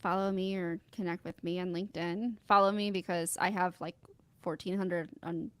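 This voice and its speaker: A woman guest in casual chat, telling animated stories and acting out what people said. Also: lowish voice, moderate pace, no echo. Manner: animated storyteller, acts out quotes. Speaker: podcast guest.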